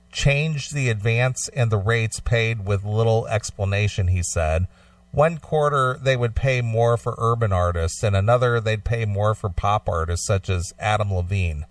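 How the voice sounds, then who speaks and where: A man co-hosting a talk show, alternating between read-out news and casual discussion in a measured, agreeable, matter-of-fact way. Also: medium pitch, dry recording, far from the mic.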